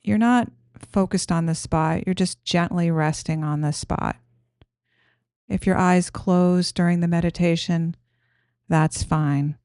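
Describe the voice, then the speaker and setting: A woman guiding a meditation in a gentle, soothing, unhurried manner, giving simple instructions. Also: lowish voice, smooth, no echo, clean studio sound. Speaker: meditation guide.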